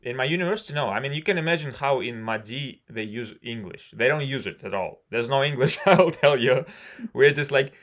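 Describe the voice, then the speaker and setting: A man with a Russian accent talks English casually over a video call. His pitch sits in a plain middle range. He rambles frankly and fills his pauses. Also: animated, fairly bright tone. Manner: rambling and frank, many "you know" fillers. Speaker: civil engineer. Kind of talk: casual conversation practice.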